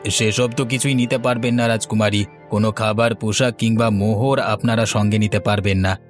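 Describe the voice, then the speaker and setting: A man's voice: fairly low pitch, fairly even intonation, brisk, soft background music, lightly acted. A man voicing a stern, commanding palace figure in a fairy-tale cartoon, severe and formal. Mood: stern, cold.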